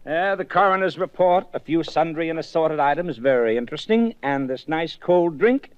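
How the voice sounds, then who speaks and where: An actor playing a wry, bantering detective in a 1950s radio drama. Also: male voice, fairly high pitch, expressive, normal speed, a little hiss or hum, close to an everyday voice. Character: wry male detective sergeant, teasing. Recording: dry, dull old radio broadcast.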